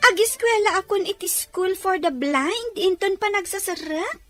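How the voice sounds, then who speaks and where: A woman as very high-pitched young schoolgirl. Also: expressive, normal speed, a bit bright, soft background music. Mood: unsure, questioning. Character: young blind schoolgirl, timid but eager. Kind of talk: audio drama dialogue.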